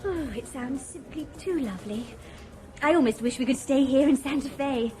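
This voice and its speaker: A woman's voice: sits high, moderate pace, a little mellow, faint music behind, natural voice. A woman playing a bubbly, gushing young woman. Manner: gushing and breezy. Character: bubbly, naive young woman, eager to please. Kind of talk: 1990s radio drama acting.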